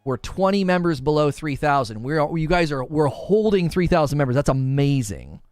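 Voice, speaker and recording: A man's voice: fairly high pitch, lively intonation, normal speed, darkish tone, smooth, dry recording, clean studio sound. A man hosting a gaming livestream, talking casually and informally to his viewers and reading their comments aloud. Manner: informal, slangy, addresses viewers directly. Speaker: gaming podcast host and livestreamer.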